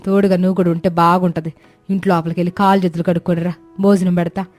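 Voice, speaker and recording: A woman's voice: fairly low pitch, level tone, quick pace, darkish tone, faint music behind, natural voice. A woman voicing a self-sacrificing middle-aged village mother in a cartoon story, with motherly, rustic colloquial speech. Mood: warm, chatty.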